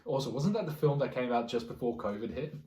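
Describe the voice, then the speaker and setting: A man's voice: medium pitch, animated, quick pace, warm tone. A man co-hosting a podcast, chatting casually about films with friends in an echoey room. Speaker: podcast co-host.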